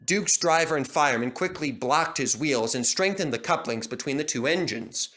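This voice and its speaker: A man reading a children's story aloud in dramatic, expressive scripted narration. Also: on the high side, moderate pace. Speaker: narrator and reader.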